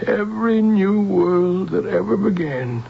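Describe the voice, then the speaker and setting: Casting: solemn dying inventor, fatherly, gravelly slow delivery, radio play, dull noisy vintage recording. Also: sits high, expressive, full character voice. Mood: solemn, visionary. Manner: solemn, halting. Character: weary, dying scientist, fatherly creator of robots, solemn. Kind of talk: old-time radio drama acting.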